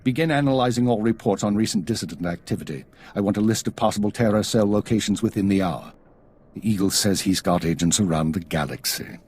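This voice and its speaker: Low husky male voice, stern spymaster, clipped and authoritative, video game acting. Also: fast talker, darkish tone. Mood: grave, commanding. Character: stern male spymaster, commanding and coolly measured. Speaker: intelligence chief.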